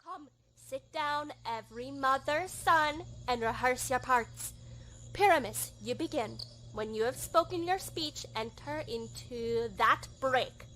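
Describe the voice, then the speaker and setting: A woman's voice: high-pitched, takes it slowly, bright, forceful tone, heavily acted, rough recording. A woman playing a bossy, easily exasperated director of amateur players, commanding and theatrical, in a Shakespearean radio play.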